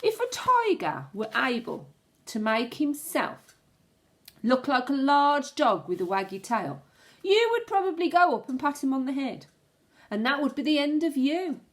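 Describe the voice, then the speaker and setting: Expressive, slow-paced woman reading a storybook. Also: sits high. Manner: expressive, suspenseful, deliberate storytelling. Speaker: primary school teacher reading aloud to pupils. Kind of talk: dramatic storybook read-aloud with asides to children.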